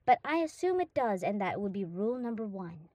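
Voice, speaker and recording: A woman's voice: mid-range voice, very animated, fairly quick, mellow, no room sound. A woman playing a hyperactive, affectionate young dog-girl roommate in a roleplay audio drama, gushing and rambling eagerly to the listener. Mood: rambling, hopeful.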